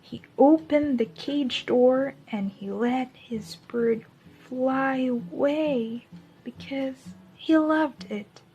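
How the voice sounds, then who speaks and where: A woman reading a children's storybook aloud as a teacher, in a deliberate storytelling manner. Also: high voice, dark tone, slightly rough, dull audio.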